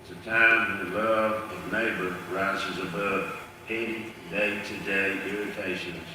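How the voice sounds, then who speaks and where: A man, the pastor, reading a Christmas story aloud to his congregation in a measured, unhurried way. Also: sits low, steady tone, bright, forceful tone. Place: church sanctuary. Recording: echoey church from far off, background noise.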